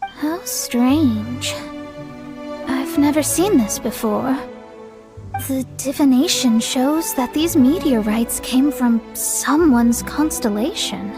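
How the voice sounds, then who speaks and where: A proud young astrologer in a video game. Her high voice takes its time as she explains with matter-of-fact confidence. There is clear music behind her. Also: slow. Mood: confident, explanatory. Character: proud, self-assured young woman astrologer mage.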